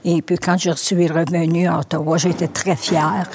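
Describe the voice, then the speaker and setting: A woman's voice: fairly low pitch, flat delivery, moderate pace, darkish tone, a little hiss or hum. Hesitant woman from Ontario in a vox pop. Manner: hesitant, restarts often. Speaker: street interviewee.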